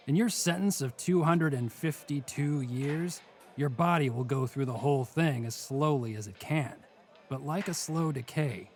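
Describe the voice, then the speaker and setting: A man playing a world-weary, menacing prison inmate in an audio drama sketch, speaking in a deliberate, scornful, taunting way. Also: medium pitch, a little mellow. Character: mocking, world-weary male prison bunk mate, menacing.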